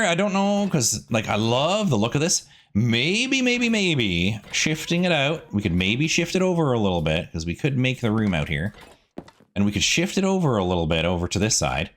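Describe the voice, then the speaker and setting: A man hosting a gaming video, giving casual, chatty gameplay commentary and thinking out loud as he goes. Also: mid-range voice.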